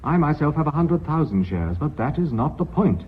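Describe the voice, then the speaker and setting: An actor playing a suave, sardonic company director in a radio play, speaking with urbane, dry irony. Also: male voice, fairly high pitch, very animated, normal speed, a little hiss or hum. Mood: grave, composed. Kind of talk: British radio play acting. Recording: thin, phone-like radio recording.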